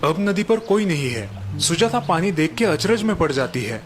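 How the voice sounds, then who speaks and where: A man's voice: fairly high pitch, moderate pace, over music. A man narrating a village moral story in a scripted storytelling style. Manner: even, explanatory narration.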